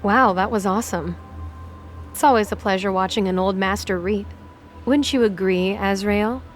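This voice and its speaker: A woman acts an apathetic goddess of death in an audio drama. Her voice is mid-pitched, cool and knowing, with faint music behind it. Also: normal speed, natural voice. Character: apathetic female death goddess, coolly testing her colleague.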